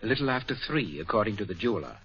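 A suave, courteous butler with a murderous streak. His mid-pitched voice rises and falls with lively inflection. The sound is dull and muffled, like an old radio broadcast. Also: racing. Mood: deferential. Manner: polite, then cold and threatening. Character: smooth male butler turned menacing killer. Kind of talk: 1940s radio acting.

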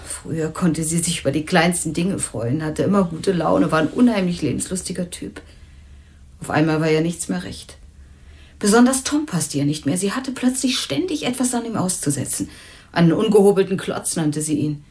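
A woman's voice: fairly low pitch, animated, normal speed, a little mellow. An actress playing a candid, unsentimental young working woman in a crime radio drama, talking bluntly and colloquially. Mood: reflective. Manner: blunt, colloquial, matter-of-fact. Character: candid, unsentimental young former waitress, murder victim's flatmate.

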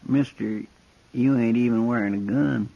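Gravelly, folksy sidekick. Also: male voice, mid-range voice, normal speed, warm tone. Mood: indignant. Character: folksy, simple, loyal western deputy sidekick. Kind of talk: 1950s western radio acting. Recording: dull 1950s radio recording.

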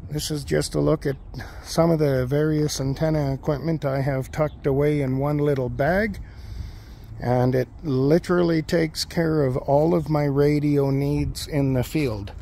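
A man's voice: fairly high pitch, fairly even intonation, measured pace, mellow. A man giving an informal, matter-of-fact walkthrough of his gear, explaining each piece as a hobbyist. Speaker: amateur radio hobbyist presenting gear. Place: outdoors on a lawn. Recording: outdoor recording with clear background noise.

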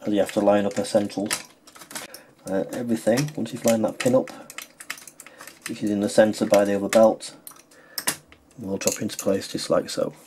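A man's voice: fairly low pitch, flat delivery, normal speed, pauses often, background noise. A man narrating a hands-on how-to as a tutorial host, explaining plainly step by step.

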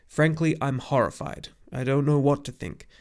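A man's voice: medium pitch, normal speed, a little mellow, close to an everyday voice. A man voicing a very old, grave centaur in an audiobook story, with an emphatic, exclamatory manner. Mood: agitated, indignant. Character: very old agitated centaur messenger, grave and weary.

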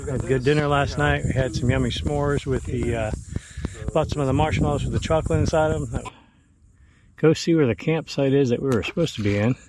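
A man in his fifties vlogging in a relaxed, chatty way with lots of fillers, filmed outdoors at arm's length. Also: mid-range voice, a little fast, background noise. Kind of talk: casual outdoor vlog narration. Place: outdoors on a beach campsite.

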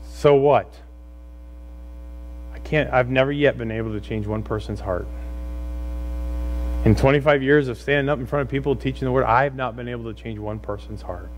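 A man's voice: medium pitch, moderate pace, long pauses, low-quality recording. Middle-aged male pastor preaching, humming background. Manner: conversational and exhorting, with rhetorical questions. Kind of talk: sermon to a congregation. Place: church room, speaking from a stage. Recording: steady mains hum and noise.

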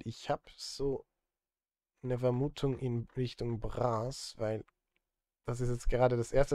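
A man talks at a medium pitch, recorded close and very dry. He chats casually to his stream as he works, dropping self-deprecating, joking asides. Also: a little mellow, dry recording. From Switzerland. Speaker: streamer and film composer. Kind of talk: casual live-stream chat while composing. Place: indoor streaming setup.

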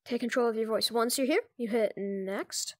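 A speaker giving informal, improvised step-by-step instructions in a casual screen-recorded software tutorial. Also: mid-range voice, normal speed, no echo. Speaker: tutorial presenter.

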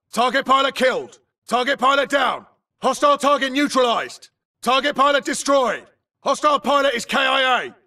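A game army grunt, gravelly and urgent, barking short aggressive callouts, bit far from the mic. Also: male voice, very high-pitched, heavily acted. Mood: gruff, triumphant. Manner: clipped, urgent, forceful delivery. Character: tough male infantry grunt soldier, urgent and aggressive. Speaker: video game voice actor. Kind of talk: military combat callouts, short shouted barks.

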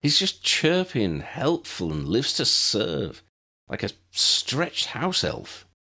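A man's voice: medium pitch, wildly swooping pitch, takes it slowly, sharp tone, husky, voice pushed up, full character voice. A man voicing an exasperated, teasing but friendly teenage girl prefect in an audiobook. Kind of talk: audiobook character voice.

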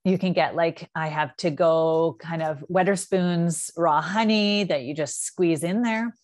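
A woman co-hosts a podcast with an informal, chatty delivery. She speaks slowly, in a somewhat low voice for a woman. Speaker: podcast co-host. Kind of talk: casual podcast chat.

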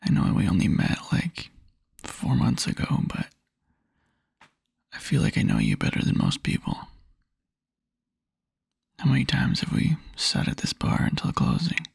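A man playing a tender, wistful young boyfriend in an intimate ASMR roleplay, murmuring softly and haltingly in a husky near-whisper. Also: medium pitch, huge pitch swings, no room sound, clean studio sound, heavily acted. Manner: soft, halting, intimate murmur with small chuckles. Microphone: close microphone, dry.

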